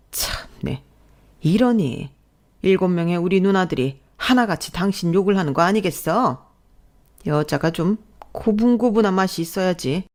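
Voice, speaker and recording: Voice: medium pitch, natural voice. A narrator voicing a boorish, lazy husband and father who scolds and sneers in a family story.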